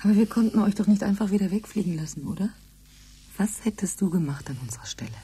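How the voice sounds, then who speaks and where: An actress playing a yearning, desperate but tender colonist in a radio drama, speaking intimately, often half-whispering. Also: female voice, mid-range voice, fast talker, dark tone, husky. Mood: desperate, insistent. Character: yearning, desperate colonist woman, tender yet stubborn dreamer. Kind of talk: German radio drama acting.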